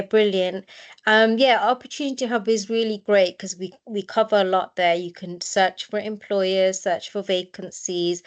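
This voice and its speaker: An upbeat woman careers adviser talks on a video call. Her manner is friendly and chatty, with frequent ums. Her pitch is mid-range. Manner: upbeat, friendly, filler-heavy. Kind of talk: online presentation. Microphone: video call mic.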